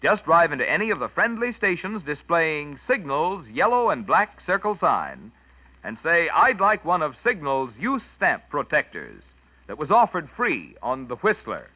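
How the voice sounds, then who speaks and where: A dry, slightly dull old radio recording of a male commercial announcer. He reads a scripted sales pitch in a folksy 1940s manner, with very lively swings in his delivery. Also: unhurried, no room sound.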